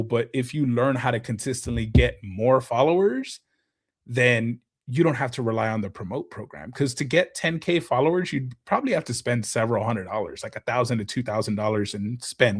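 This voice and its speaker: Some guy on a podcast, animated, breaks stuff down with gaming lingo. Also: mid-range voice. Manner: animated, explains with gaming comparisons. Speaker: guest content creator. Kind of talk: casual podcast chat.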